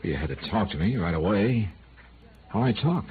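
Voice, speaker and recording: Tough noir insurance investigator male actor, low-pitched, wry, clipped delivery, thin vintage radio sound. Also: lively intonation, normal speed, slight background noise, natural voice. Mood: dry, guarded. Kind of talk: 1950s radio noir acting and first-person narration. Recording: muffled vintage radio broadcast, phone-like band.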